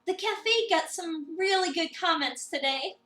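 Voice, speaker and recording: A woman's voice: extremely high pitch, a little slow, bright, forceful tone, pitched above the performer's own voice, heavily acted. A woman voicing a bubbly, confident young female cafe coworker in a visual novel, perky and encouraging. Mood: bubbly, proud. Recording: roomy, distant mic, music behind.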